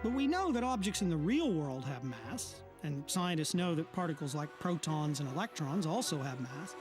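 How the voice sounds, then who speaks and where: A man's voice: a little high, normal speed. A man narrating a science documentary as the show's correspondent, explaining in a scripted way. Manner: lively, explanatory delivery. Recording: narration over loud music.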